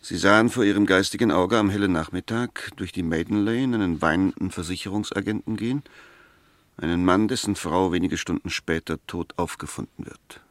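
A man's voice: low-pitched, brisk, no room sound, natural voice. A man acting an insistent, courteous but sceptical defence lawyer in a 1960s German radio drama, precise and argumentative. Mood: persuasive. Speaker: actor in a radio play.

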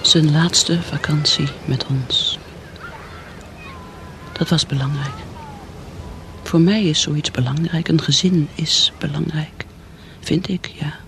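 An actress playing a troubled middle-aged mother in denial, speaking her hushed inner thoughts in a radio drama. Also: sits low, steady tone, slow, vocal fry, pauses often, a little hiss or hum, heavily acted. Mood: wistful. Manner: hushed, introspective, sometimes whispering.

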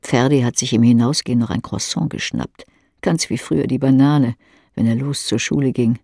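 A woman's voice: very deep voice, normal speed, creaky, mellow, slightly rough, no echo. Woman narrator, very close dry sound. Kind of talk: scripted literary second-person narration, audio drama.

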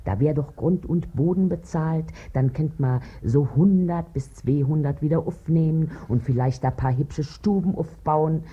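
A woman's voice: low-pitched, measured pace, hardly any pauses, mellow, breathy edge, dull audio. An actress in a 1950s German radio play voicing a crafty, domineering middle-aged washerwoman with a Berlin accent, bossy and wheedling in colloquial speech. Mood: scheming. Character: crafty, domineering working-class washerwoman, wife and mother.

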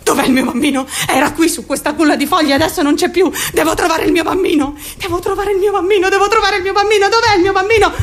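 A woman's voice: extremely high pitch, fairly even intonation, quick pace, few pauses. An actress playing an unstable, obsessive, desperate pregnant young woman in a raw, intense radio drama monologue. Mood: frantic, desperate. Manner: raw, volatile, swinging from murmurs to screams.

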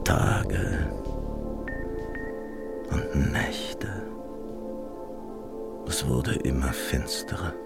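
Very deep, gravelly, haunted soldier. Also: male voice, fairly even intonation, full character voice. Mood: dark, hushed. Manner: brooding, halting, often dropping into whispers. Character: haunted male soldier recounting a dark dream journey upriver. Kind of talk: slow, hushed monologue in a radio play. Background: music.

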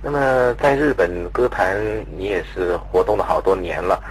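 A man's voice: low voice, animated, moderate pace. A man asking questions in a conversational radio interview, polite and deferential in his phrasing. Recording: faintly noisy radio interview recording.

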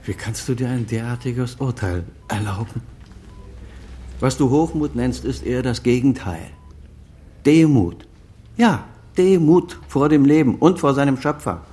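A man's voice: mid-range voice, a little slow, warm tone, a little hiss or hum, lightly acted. An actor playing a proud senior doctor and estranged father, over fifty, speaking formal, articulate in a radio drama. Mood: indignant. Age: middle-aged or older, over fifty. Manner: formal, articulate, self-justifying. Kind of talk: German radio drama dialogue.

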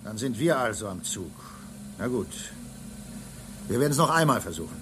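A man's voice: mid-range voice, sing-song, moderate pace, background noise, natural voice. A man playing a level-headed, dry-witted space station engineer in a German radio drama, speaking in a wry, reasoning way. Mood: tense, sardonic.

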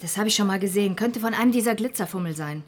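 A woman's voice: mid-range voice, very fast, lightly acted. An actress in a radio drama playing a skeptical, world-weary woman detective, wry and matter-of-fact. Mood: musing, wry. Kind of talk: modern radio drama acting.

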